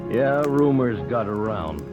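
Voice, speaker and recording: A man's voice: mid-range voice, lively intonation, a little slow, natural voice. Guy as a surly hothead outlaw, medium voice, muffled old radio sound w/ music. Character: surly, hot-tempered western outlaw brother. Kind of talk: old-time radio western acting. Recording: dull old radio recording, music bed.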